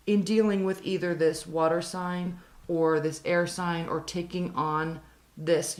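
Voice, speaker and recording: A woman with a raspy, hoarse voice reading tarot cards, earnest, repeating her advice and slowing down to stress it. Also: fairly low pitch, roomy sound. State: hoarse from talking for days. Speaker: tarot reader.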